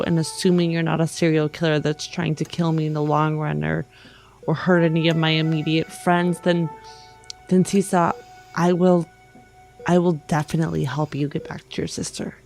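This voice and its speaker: Woman acting out earnest female adventurer, careful, deliberate, tabletop roleplay, rather low pitch, gravelly creak. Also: darkish tone.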